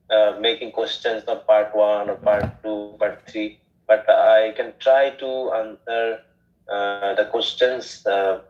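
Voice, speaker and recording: A man's voice: fairly low pitch, highs cut off. A man from Bangladesh, a language learner, speaking hesitantly in a practice conversation, with many fillers and restarts.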